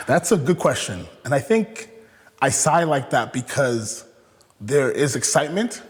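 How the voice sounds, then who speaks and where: A man's voice: mid-range voice, sing-song, a little slow, breathy edge. A young man from Canada talking as an interview guest, reflective and hesitant, with many fillers and restarts. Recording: echoey room with long reverb.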